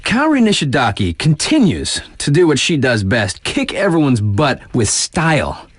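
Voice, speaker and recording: Lively, hip radio DJ. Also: male voice, medium pitch, slightly rough, no room sound, clean studio sound. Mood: upbeat, cool. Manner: slangy, laid-back hype with emphatic drawn-out phrases. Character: hip, easygoing male snowboard-circuit radio DJ, enthusiastic. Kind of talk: scripted in-game radio sports news patter.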